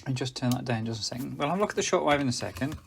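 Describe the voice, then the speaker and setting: A man's voice: mid-range voice, expressive, very fast, creaky, noisy background. A man presenting a casual hands-on gadget review in a rambling way, often correcting himself and getting slightly tongue-tied.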